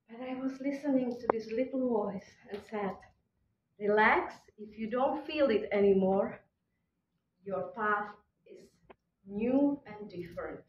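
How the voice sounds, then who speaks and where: A woman whose first language is Czech, giving a reflective spiritual talk at a conference in slow, halting phrases with pauses. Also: high voice, slight background noise. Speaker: conference speaker. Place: hotel conference hall. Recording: distant, dull, echoey hall recording.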